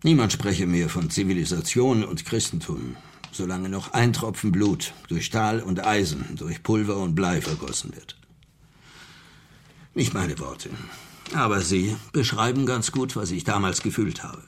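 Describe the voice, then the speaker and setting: Ein Schauspieler spielt im deutschen Hörspiel einen höflichen, nachdenklichen Farmer, der früher Militärseelsorger war und seinen Glauben verloren hat. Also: male voice, low voice, lively intonation, unhurried, slightly rough, full character voice. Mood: grave, reflective. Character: farmer, former army chaplain who lost his faith. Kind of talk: German radio drama acting.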